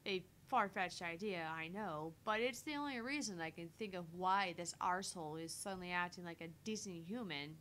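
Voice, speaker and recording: A woman voicing a sharp-tongued, sardonic heroine in a dry, taunting and theatrical read-aloud of a visual novel. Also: fairly low pitch, normal speed, few pauses, natural voice, poor audio. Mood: wry. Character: sharp-tongued sardonic woman narrating her thoughts, teasing and haughty.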